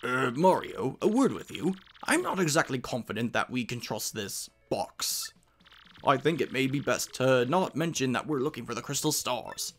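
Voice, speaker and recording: Man doing a fretful, animated old professor creature. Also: mid-range voice, moderate pace. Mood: wary, confiding. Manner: hesitant, formal, fretful. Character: fussy, anxious old male Goomba professor. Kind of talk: fan-dubbed video game dialogue.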